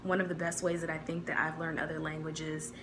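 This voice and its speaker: Chatty Midwestern woman, low voice. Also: a little fast, noisy background. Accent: Midwestern American. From United States. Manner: relaxed, chatty, many fillers. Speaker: vlogger. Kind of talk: casual talk to camera.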